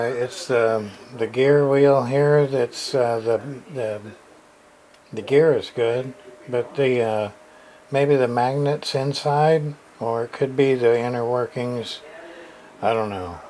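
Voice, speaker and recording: Slow-talking man over clear background noise. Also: mid-range voice, darkish tone, poor audio. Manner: halting, thinking aloud. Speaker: repair hobbyist showing his work. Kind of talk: casual unscripted repair demonstration.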